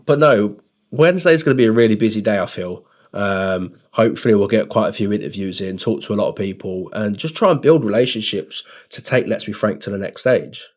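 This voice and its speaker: A man's voice: lowish voice, a little fast, darkish tone. A British man co-hosting a podcast in casual, blokey, sweary chat with a friend. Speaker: podcast co-host.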